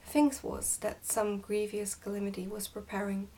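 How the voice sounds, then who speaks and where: Soft-spoken woman reading a storybook. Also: medium pitch, fairly even intonation, moderate pace, far from the mic. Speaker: ASMR book reader. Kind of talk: ASMR storybook narration.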